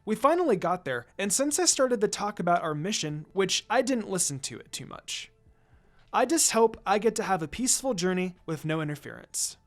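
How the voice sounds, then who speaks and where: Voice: medium pitch, very animated, normal speed. A narrator reading a scripted first-person story aloud, acting out bits of dialogue along the way. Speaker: fan fiction narrator.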